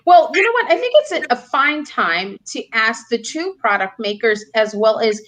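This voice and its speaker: A woman's voice: on the high side, sing-song, normal speed, fairly bright tone. A woman hosting a live online panel, upbeat and exclamatory, asking guests questions. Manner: upbeat, exclamatory, laughs often. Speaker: panel host, moderator. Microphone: video call.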